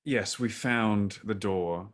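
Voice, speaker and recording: Brief: male player, low voice, slow and formal in character. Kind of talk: playing a character in a tabletop game.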